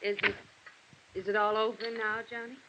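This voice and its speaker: Actress as weary, wistful widow on old radio. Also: female voice, medium pitch, level tone, normal speed, natural voice. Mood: anxious hospitality. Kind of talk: 1950s radio drama acting. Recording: dull, noisy old radio recording.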